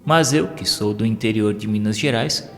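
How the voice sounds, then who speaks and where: A Brazilian man voicing a kindly, calm male medium who gives measured, gentle instruction in an audiobook. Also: lowish voice, gravelly. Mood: calm, advising. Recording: long reverb with music behind.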